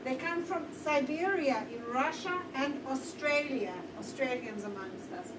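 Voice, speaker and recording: A woman's voice: high-pitched, unhurried. A woman from Zimbabwe with a Zimbabwean accent, giving a chatty guided tour of a diamond factory and talking straight to her group. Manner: chatty, addresses the group directly. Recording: roomy, distant, noisy.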